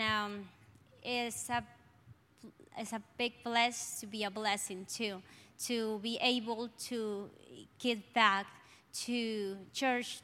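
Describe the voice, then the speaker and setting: A woman with a strong Colombian accent giving a church testimony, halting with many fillers. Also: on the high side, bright, forceful tone. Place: church sanctuary. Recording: church hall reverb, light noise.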